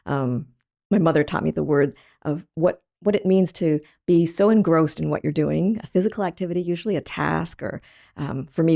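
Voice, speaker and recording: A middle-aged woman who grew up in Thailand, an artist talking through her story in a thoughtful, halting way with many pauses and ums. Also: deep voice, vocal fry, mellow. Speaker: artist guest. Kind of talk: reflective interview talk.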